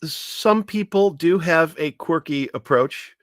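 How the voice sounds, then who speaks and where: A man giving an informal, explanatory history talk with anecdotes, speaking in a measured, hesitant way as a commentator. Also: a little high, a bit bright, dry recording, studio quality.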